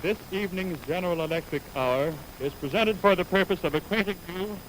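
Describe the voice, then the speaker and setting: High-voiced American man, noisy 1920s radio announcer. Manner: formal, measured declaiming. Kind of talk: 1920s radio announcing, formal scripted narration. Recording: noisy, hissy 1929 radio aircheck on film.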